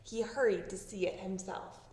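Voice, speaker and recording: A woman reading a children's story aloud, with expressive narration that acts out the words. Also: medium pitch, moderate pace. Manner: expressive, acting out sound words. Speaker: storybook reader. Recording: roomy echo, distant microphone.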